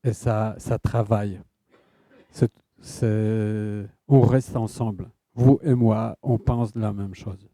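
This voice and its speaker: A man with a Polish accent, an author answering audience questions in a halting way, often stopping to search for words. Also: fairly low pitch, steady tone, warm tone. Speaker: guest author.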